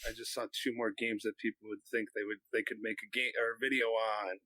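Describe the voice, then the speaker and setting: A man's voice: on the low side, level tone, fast talker, pushed and bright. A man chatting casually as a podcast co-host. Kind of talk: casual chat.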